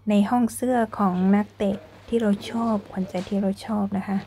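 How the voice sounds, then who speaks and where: A woman's voice: mid-range voice, steady tone, brisk, a little hiss or hum. A young woman hosting a casual tour vlog, narrating politely and chattily, and speaking English with a Thai accent when she switches. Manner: polite, chatty, adds frequent soft sentence-end particles. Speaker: vlog host and tour guide. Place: football stadium museum indoors.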